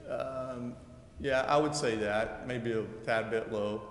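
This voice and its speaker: A man's voice: medium pitch, slow pace. A man speaking off the cuff as a project engineer at a council meeting, explaining things in a folksy, roundabout way. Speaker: developer's engineer presenting a subdivision plat. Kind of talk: unscripted public presentation at a city council meeting. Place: indoor council chamber. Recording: echoey reverberant hall with background noise.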